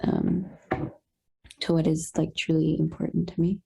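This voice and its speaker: A young woman speaks on a video call with a low-set, gravelly voice. Her tone is dark and husky, and she talks in a halting, reflective way full of small fillers. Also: flat delivery, poor audio. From Colombian roots. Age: young adult. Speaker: satsang participant. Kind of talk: casual sharing on a video call.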